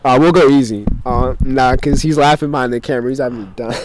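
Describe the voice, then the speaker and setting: A man's voice: mid-range voice, fairly even intonation, quick pace. A man hosting a podcast in casual chat, teasing his guest, laughing between lines and often trailing off mid-thought. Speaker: podcast host.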